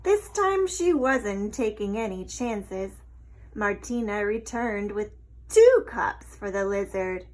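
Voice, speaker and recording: A woman reading a picture book aloud as an expressive storyteller. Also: mid-range voice, slow pace, poor audio.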